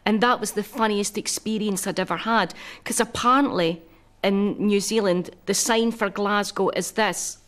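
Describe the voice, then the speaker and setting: A woman's voice: medium pitch, moderate pace, a bit bright. A woman comedian with a Glaswegian accent, telling stories in a casual interview with a wry delivery. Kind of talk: casual anecdotal chat.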